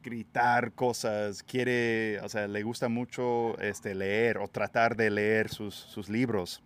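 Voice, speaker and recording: A man from Texas hosting a casual podcast chat outdoors by a pool, English his first language, talking in a relaxed, hesitant way with many fillers and restarts. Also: on the low side, slow, smooth. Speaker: podcast host.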